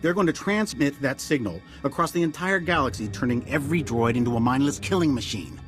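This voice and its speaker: An actor playing a grave, urgent military intelligence director in a video game, briefing in a clipped, earnest way. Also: male voice, medium pitch, brisk, lightly acted. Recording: dramatic music underneath.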